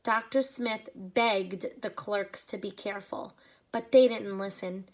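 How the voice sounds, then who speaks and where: A woman teacher reading a picture book aloud to children, with expressive pacing and short explanatory asides. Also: medium pitch, takes it slowly.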